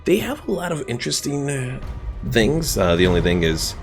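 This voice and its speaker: A man casually narrating a strategy game playthrough, thinking aloud and chatting with his stream viewers in a relaxed way. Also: mid-range voice, moderate pace, creaky. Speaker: gaming streamer. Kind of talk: casual let's-play commentary. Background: game music.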